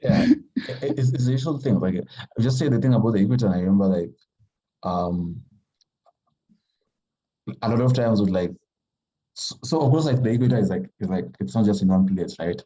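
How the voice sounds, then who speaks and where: A man from Kenya, a writer talking casually as a podcast guest in a halting, thoughtful way full of fillers. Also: lowish voice, dark tone, lo-fi sound. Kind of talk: casual conversation.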